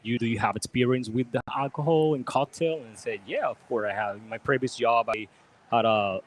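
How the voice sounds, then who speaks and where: A man from Venezuela being interviewed about drinks at a restaurant bar, pausing often to find his words. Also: medium pitch, low-quality recording. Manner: halting, searches for words, polite. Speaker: bartender. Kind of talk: casual interview.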